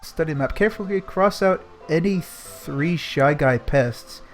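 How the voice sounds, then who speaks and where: A man's voice: on the high side, measured pace, faint music behind. A man narrating a storybook aloud on a casual stream, playfully breaking off to react.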